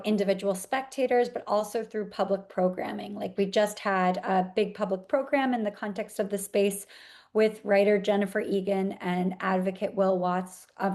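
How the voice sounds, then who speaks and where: Female artist interviewee, mid-pitched voice, reflective and articulate, explains in careful detail. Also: darkish tone.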